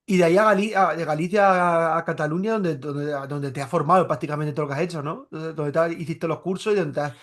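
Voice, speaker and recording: A man interviewing an actor, asking rambling questions full of restarts and repeated words. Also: fairly high pitch. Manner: rapid, stumbling questions with many restarts and fillers. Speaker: interviewer, journalist. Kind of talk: interview conversation.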